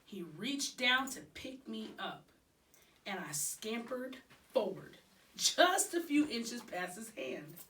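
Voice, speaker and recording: A woman reading a children's storybook aloud as an expressive narrator. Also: a little high, slow, recorded from a distance, rough recording. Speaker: audiobook reader.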